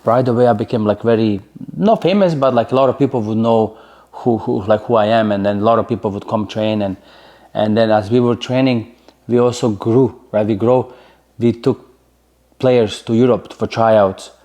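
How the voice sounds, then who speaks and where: Slovenian-accented man in his forties, lowish voice, loose chatty storyteller who leans on "right?", interview guest. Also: moderate pace, mellow. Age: 40s. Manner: chatty, many fillers, keeps asking "right?". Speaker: interview guest, former soccer player.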